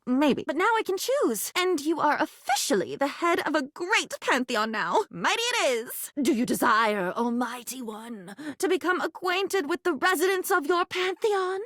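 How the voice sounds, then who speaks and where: A woman's voice: high-pitched, sing-song, moderate pace, hardly any pauses, a bit bright, no echo, studio quality. A woman voicing a young, earnest, dreamy living object in a video game, whimsical and theatrical, mixing flowery formal words with chatty asides. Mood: playfully grand. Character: female living diary, young, earnest, dreamy, newly self-aware. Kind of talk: video game character voice acting.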